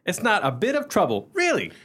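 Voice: mid-range voice, wildly swooping pitch, brisk, fairly bright tone. A performer playing an earnest, officious young Boy Scout in a radio comedy, eager and literal-minded. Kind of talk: radio play acting.

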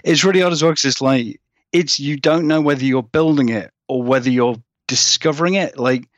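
A man's voice: mid-range voice, a little fast, dry recording, highs cut off. A man, a guest author chatting casually in a rambling, self-deprecating way. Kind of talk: casual interview chat.

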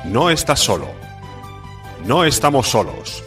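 A man's voice: medium pitch, very animated, unhurried, fairly bright tone, distant mic, faint music behind. A man reading a dramatic scripted podcast promo as an announcer.

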